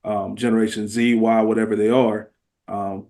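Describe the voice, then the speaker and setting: A man's voice: on the low side, flat delivery, normal speed, creaky, mellow. A man co-hosting a podcast in casual, slangy conversation. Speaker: podcast co-host.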